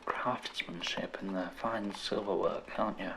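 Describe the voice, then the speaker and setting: A man with an English accent doing an old-fashioned ASMR role-play, hushed and soothing, with genteel, formal turns of phrase. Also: low-pitched, fairly even intonation, noisy background. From England. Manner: slow, hushed, soothing delivery. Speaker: ASMR host.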